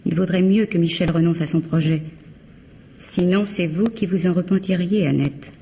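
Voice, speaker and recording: A woman's voice: lowish voice, steady tone, moderate pace, dark tone, natural voice, rough recording. Woman acting a haughty middle-class lady, icy scorn, formal clipped speech, radio mystery, slight noise. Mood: menacing. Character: haughty, cold, scornful bourgeois sister-in-law.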